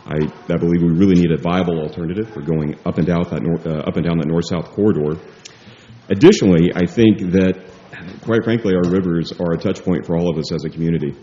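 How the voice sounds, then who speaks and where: A man stumbles a little through a casual public comment at a civic meeting, with a loud voice. His voice is very low and dark. The room sounds echoey and muffled. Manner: nervous, halting, self-deprecating.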